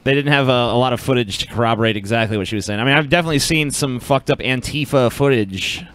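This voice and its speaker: A man's voice: medium pitch, fast talker, fairly bright tone. A man co-hosting a podcast in casual chat, sardonic and foul-mouthed. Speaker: podcast co-host.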